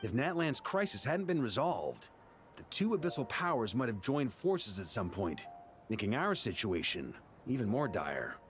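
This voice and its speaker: A man's voice: medium pitch, sing-song, normal speed, slight background noise, natural voice. A man playing an easygoing, jovial knight grandmaster in a video game, with a breezy, joking, theatrical manner. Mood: earnest. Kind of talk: scripted video game voice acting.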